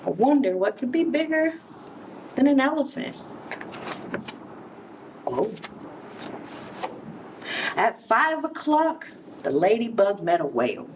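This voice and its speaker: A woman reading a picture book aloud to young children, expressively, pausing to explain and ask questions. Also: fairly high pitch, big pitch swings. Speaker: children's story reader, early education center director. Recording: distant mic with background noise.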